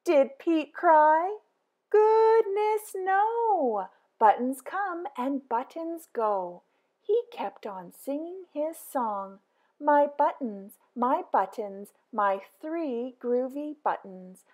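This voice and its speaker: A high-voiced woman reads a children's picture book aloud, very slowly and in a sing-song way. Her pitch leaps around, and she draws out big excited exclamations. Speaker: storybook reader.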